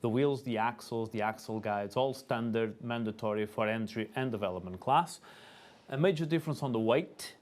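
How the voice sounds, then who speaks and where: A man's voice: mid-range voice, normal speed, darkish tone. A man with a Portuguese accent, a Portuguese native speaker, explaining the rules and announcing the lanes at a school car racing event, with some hesitation. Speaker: rules official and race announcer. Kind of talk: live event commentary.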